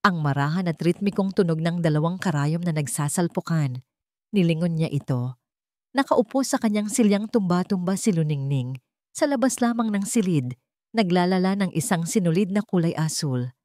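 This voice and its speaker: A woman reads a dramatic story aloud in a calm, measured voice pitched on the low side. The sound is dry and close, with no room around it. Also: no echo. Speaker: narrator. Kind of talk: scripted storybook narration.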